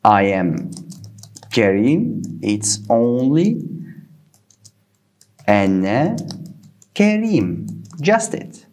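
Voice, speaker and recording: Slow, animated man teaching. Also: medium pitch. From Tunisia. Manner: slow and deliberate, repeating words for the learner; non-native English phrasing. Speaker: Arabic teacher. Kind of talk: beginner language lesson.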